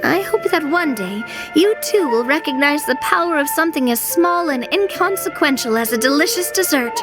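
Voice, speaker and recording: A woman's voice: high-pitched, expressive, normal speed, over music. An actress playing a relentlessly sunny young woman adventurer, with a chirpy, earnest, theatrical way of talking. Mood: earnest, encouraging.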